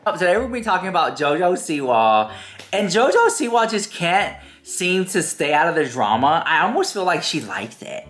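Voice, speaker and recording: A man's voice: on the high side, very animated, moderate pace, a bit bright. Stuffy-voiced man gossiping to camera. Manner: chatty, addresses viewers directly, emphatic repetition. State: stuffy from a cold. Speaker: YouTube commentary host. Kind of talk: casual gossip commentary to camera.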